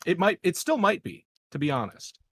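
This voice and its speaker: A man's voice: high voice, very animated, normal speed, dry recording. A man bantering casually as a player in a tabletop game chat.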